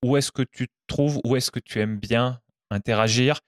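A man's voice: medium pitch, moderate pace, dry recording, clean studio sound. A man hosting an interview, asking questions while fumbling for the right words. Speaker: interviewer, host.

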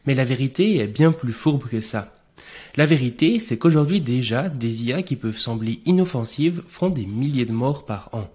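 Man, podcast host, mid-pitched voice, questions with some fillers. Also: animated, moderate pace, a little mellow, husky. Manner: scripted and punchy in the intro, loose with fillers when asking. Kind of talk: podcast intro and interview questions.

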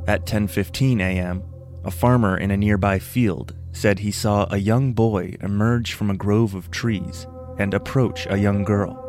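A man reading a scripted first-person story as narrator, in a measured, solemn manner. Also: fairly low pitch, steady tone, darkish tone. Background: music.

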